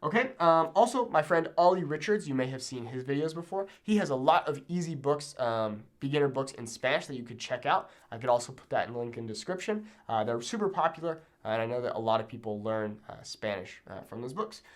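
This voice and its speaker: A man's voice: medium pitch, animated, a little fast, hardly any pauses. A man giving an instructional talk to camera as a language teacher, emphatic and often checking in with the learner. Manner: emphatic, checks in with "okay?" and "right?".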